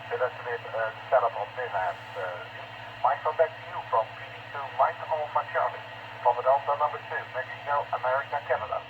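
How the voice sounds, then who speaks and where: A man with a Dutch accent chatting casually as an amateur radio operator, speaking haltingly with long pauses. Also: medium pitch. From Netherlands. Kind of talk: casual ham radio chat. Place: living room at home. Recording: tinny narrowband radio link with clear hiss.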